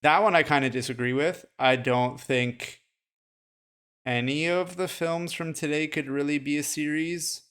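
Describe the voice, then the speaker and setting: A man's voice: a little high, fairly even intonation, a little slow, a bit bright, smooth. A man co-hosting a podcast, talking casually about short films with frequent "like" and "you know". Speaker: podcast co-host. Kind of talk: casual film discussion.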